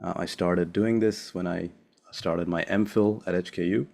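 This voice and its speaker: A young man from Sri Lanka, a postdoc, giving a friendly, casual seminar talk over a remote call, with many filler pauses. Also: low-pitched, fairly even intonation, vocal fry, darkish tone. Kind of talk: academic seminar presentation.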